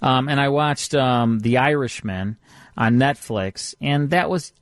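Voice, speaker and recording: A man's voice: medium pitch, a little slow, dry recording, clean studio sound. An American man hosting a radio show in casual banter, with an enthusiastic, teasing manner. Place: radio studio.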